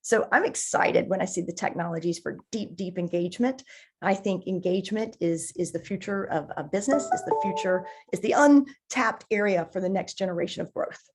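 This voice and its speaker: A woman giving conversational, enthusiastic explanations as an interview guest on a marketing podcast, haltingly repeating words as she thinks. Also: lowish voice, husky, faint music behind.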